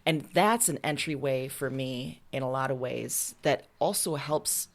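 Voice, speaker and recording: Low-voiced woman author, casual and conversational. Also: a little slow. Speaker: author being interviewed.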